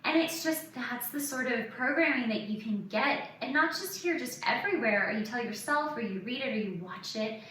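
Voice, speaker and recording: A woman's voice: on the high side, expressive, normal speed, few pauses, pushed and bright. A woman hosting a podcast, chatting casually and confessionally, with candid emphasis and plenty of "like" as filler. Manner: candid, emphatic, peppers speech with "like". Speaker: podcast host. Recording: roomy echo, distant mic, faint noise.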